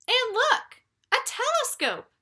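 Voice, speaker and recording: Woman acting an excited, high-pitched young girl. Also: takes it slowly, heavily acted. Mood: amazed. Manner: exclamatory, bouncy, wide-eyed.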